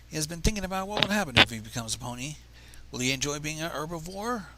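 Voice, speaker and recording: A man reading a story aloud on a livestream in an expressive, informal, theatrical and irreverent way. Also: a little high, fast, heavy background noise. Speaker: fan fiction reader on a livestream.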